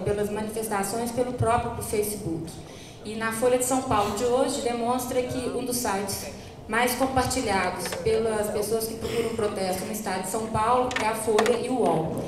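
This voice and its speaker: A woman's voice: on the high side, background noise. Distant Brazilian woman in very echoey hall. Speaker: researcher, panel speaker. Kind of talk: conference panel talk. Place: auditorium stage.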